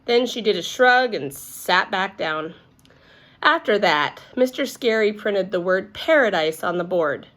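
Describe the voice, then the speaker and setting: A woman storyteller narrating a children's book aloud in an expressive read-aloud style. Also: mid-range voice, a little slow.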